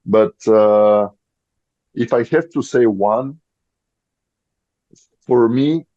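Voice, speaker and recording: A man in his thirties with a Bulgarian accent, a podcast guest speaking English as a second language and often pausing to search for words. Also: mid-range voice, warm tone, no echo, highs cut off. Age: 30s. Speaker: guest, volleyball player. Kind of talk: podcast interview.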